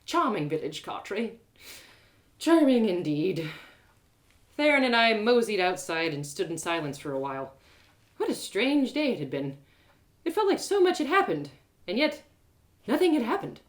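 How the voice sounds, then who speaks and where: Woman reading her own story out loud, super animated, swoopy voice. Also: mid-range voice, normal speed, long pauses. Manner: expressive, animated narration. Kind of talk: one-woman audio drama reading.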